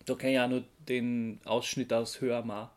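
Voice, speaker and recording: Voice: mid-range voice, level tone, moderate pace, darkish tone. A podcast co-host, explaining things informally in casual conversation.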